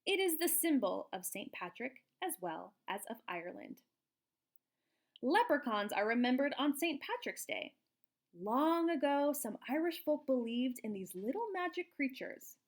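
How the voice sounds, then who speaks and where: A woman reading a picture book aloud to young children in an expressive, explanatory way, like a teacher. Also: high-pitched, a little slow. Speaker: children's storybook reader, teacher.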